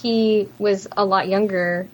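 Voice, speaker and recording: A woman's voice: mid-range voice, fairly even intonation, slow, poor audio. A woman co-hosting a podcast, discussing a film casually and giving her opinions plainly. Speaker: podcast co-host.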